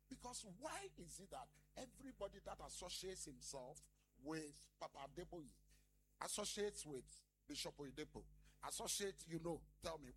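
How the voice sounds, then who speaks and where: A male pastor preaches in a high, swinging voice that leaps up and down. He talks emphatically and keeps calling out to the congregation. A faint hiss of noise sits under the recording. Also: a bit bright, low-quality recording. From Ghana. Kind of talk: lively sermon.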